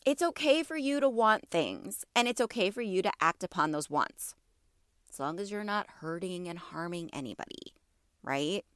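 A woman in her thirties speaks directly to her listeners in a casual, encouraging way, often asking them questions. Her voice sits a bit low and sounds slightly congested from allergies. The sound is dry and close, with no room around it. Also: lively intonation, moderate pace, a bit bright, smooth, no echo. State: stuffy from allergies. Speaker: podcast host. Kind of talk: casual wellness talk to listeners.

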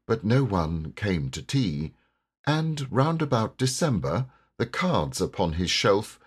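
Slow, theatrical man reading verse. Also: fairly low pitch, husky. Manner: measured, theatrical, deliberate phrasing. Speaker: poetry reader and narrator. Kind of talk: expressive storybook verse reading.

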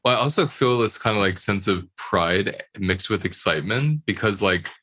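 A man with a low yet bright, forward voice leads a heartfelt discussion. He speaks casually, with earnest emphasis and an expressive delivery. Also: moderate pace. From Puerto Rican family background.

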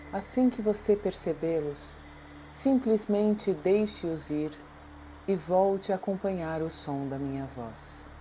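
A woman with a Brazilian accent narrating a guided meditation in a soothing, deliberate way, leaving long pauses. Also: low voice, mellow, slight background noise, low-quality recording. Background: meditation music.

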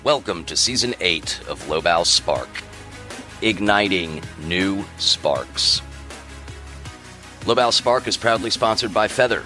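A man with a very deep voice reads a podcast opening in an earnest, measured way. Clear music plays underneath the whole read. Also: expressive, pushed and bright. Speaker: podcast host. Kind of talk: scripted podcast intro.

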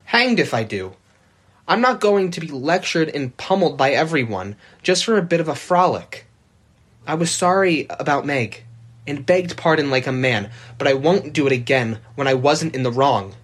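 A woman's voice: sits low, expressive, moderate pace, husky, voice pushed down. A woman reading aloud, voicing a proud, hot-tempered young man who sulks and talks back. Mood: indignant. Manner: sulky, defiant.

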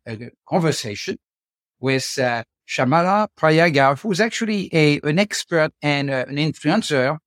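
A man with a French accent hosting a podcast interview, speaking haltingly with frequent fillers and restarts. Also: mid-range voice, fairly bright tone, no echo, studio quality. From France. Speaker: podcast host. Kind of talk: casual interview chat.

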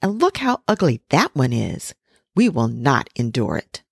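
A woman's voice: very deep voice, big pitch swings, unhurried, creaky, husky, no echo, studio quality, full character voice. A woman voicing a sneering flock of yard ducks with a spiteful manner.

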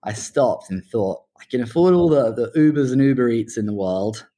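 A man's voice: mid-range voice, lively intonation, normal speed, mellow. A man in his twenties giving conversational interview answers, talking earnestly and enthusiastically about his own story. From Hong Kong and UK. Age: 20s. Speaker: startup founder being interviewed.

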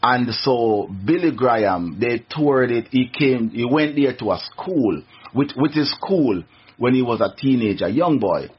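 A man's voice: mid-range voice, lively intonation, a little slow, a little hiss or hum. A middle-aged man from Jamaica with a Jamaican accent, a radio guest telling his story earnestly, with many restarts. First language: Jamaican Patois. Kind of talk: casual interview, testimony. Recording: muffled radio recording.

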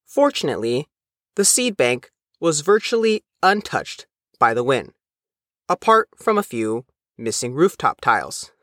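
A man's voice: medium pitch, sing-song, unhurried, fairly bright tone, dry recording, clean studio sound. A man reading a scripted news report as a reporter.

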